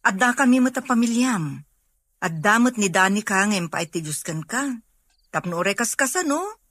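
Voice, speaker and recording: Caring sister, close dry sound. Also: female voice, medium pitch, very animated, moderate pace, a bit bright, no echo. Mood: concerned, probing. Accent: Ilocano Filipino. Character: concerned married younger sister, caring and probing. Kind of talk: Ilocano radio drama acting.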